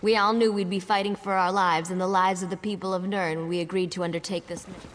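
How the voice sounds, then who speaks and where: An actress playing a steadfast, stoic adventurer in a fantasy video game. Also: female voice, fairly low pitch, level tone, quick pace, natural voice. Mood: resolute. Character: steadfast, stoic female fighter for the cause. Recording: long hall reverb, faint noise.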